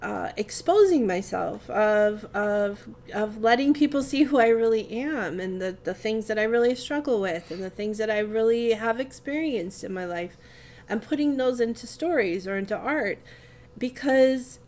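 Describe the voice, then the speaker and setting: A woman's voice: on the high side, expressive, moderate pace, a little mellow. A woman speaking in a casual, reflective way as a podcast co-host, thoughtfully searching for her words.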